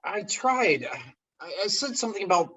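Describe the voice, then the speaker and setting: A man in a comedy play reading, playing an awkward, easily irritated, lovesick character with a husky voice and a halting, dry delivery. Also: sits high, lively intonation, pushed and bright. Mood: awkward, exasperated. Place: remote reading over a video call.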